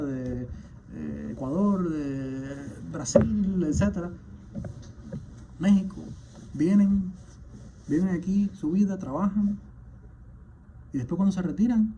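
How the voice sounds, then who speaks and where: A man, a physician, delivering an impassioned spoken message, indignant and firing off rhetorical questions, at times surging louder. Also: on the high side. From Cuba. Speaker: physician, rheumatologist. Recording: dull lo-fi sound with faint background noise.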